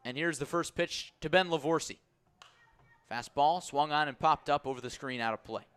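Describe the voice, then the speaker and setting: A man's voice: on the high side, normal speed, a bit bright, smooth, no echo. A man calling baseball play-by-play for radio in clipped, punchy phrases, close on a broadcast mic. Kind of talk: live sports play-by-play commentary.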